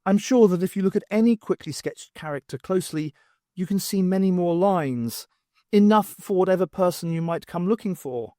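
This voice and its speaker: Man acting a high-voiced earnest little dwarf. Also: moderate pace, dark tone, no echo, clean studio sound, close to an everyday voice. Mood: earnest, pleading. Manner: gushing, earnest. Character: adorable lovestruck little dwarf, earnest and shy.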